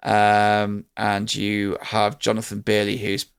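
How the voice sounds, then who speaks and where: A man's voice: lowish voice, completely flat delivery, slow pace, creaky, smooth, dry recording, clean studio sound. A man with a British accent giving an enthusiastic, informal film review in casual conversation. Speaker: podcast host reviewing films.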